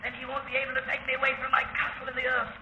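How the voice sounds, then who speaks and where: An actor playing a deranged, murderous villain who hides underground, lonely and gloating, in a 1930s radio drama. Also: male voice, extremely high pitch, monotone, very fast, sharp tone, full character voice. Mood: manic gloating. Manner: frenzied, stammering repetitions, gloating. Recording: echoey, muffled, hissy 1930s radio recording.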